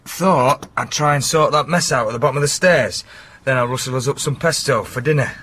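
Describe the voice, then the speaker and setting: An actor playing a plain-spoken, domineering working-class boyfriend with a Yorkshire accent in a radio drama. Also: male voice, medium pitch, brisk, fairly bright tone, husky. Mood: affectionate, easygoing. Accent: Northern English, Yorkshire. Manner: matter-of-fact, bossy, plain-spoken.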